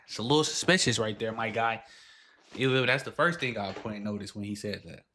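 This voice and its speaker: Bright, slangy male reaction host. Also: lowish voice, normal speed, slight background noise. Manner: slangy, exclaiming, repeats himself. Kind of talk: casual reaction commentary.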